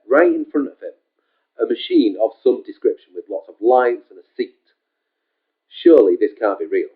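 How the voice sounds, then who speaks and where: A man reading his own short story aloud in a casual, homespun way, leaning often on the word "just". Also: fairly low pitch. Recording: tinny phone-like sound.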